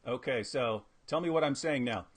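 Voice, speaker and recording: A man hosting a casual, jokey interview, asking questions in a halting way with restarts. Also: medium pitch, breathy edge. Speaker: interviewer, channel host.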